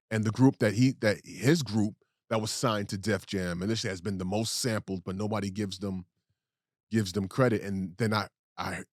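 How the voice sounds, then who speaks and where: A man's voice: lowish voice, dry recording, clean studio sound. A man co-hosting a podcast, chatting casually and rambling off on tangents. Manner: rambling, digressive storytelling. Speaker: podcast co-host.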